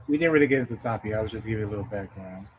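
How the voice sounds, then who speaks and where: A man's voice: fairly low pitch, racing, a little hiss or hum, low-quality recording. A man in his thirties hosting a casual livestream talk, reflective and earnest, often checking in with the audience. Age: 30s. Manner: reflective, earnest, rhetorical "okay?" check-ins. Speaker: livestream host.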